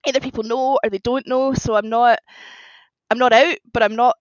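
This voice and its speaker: A woman's voice: a little high, steady tone, normal speed, no echo. A woman talking casually as a guest writer on a podcast, with slightly dull, lo-fi sound. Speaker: guest author on a fan fiction podcast.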